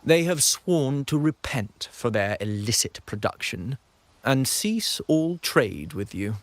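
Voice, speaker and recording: Slow, sanctimonious religious zealot. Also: male voice, medium pitch, very animated, slight background noise. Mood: smug taunting. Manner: pompous, formal, threatening. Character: sanctimonious, menacing male acolyte of a religious order. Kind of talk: scripted video game voice acting.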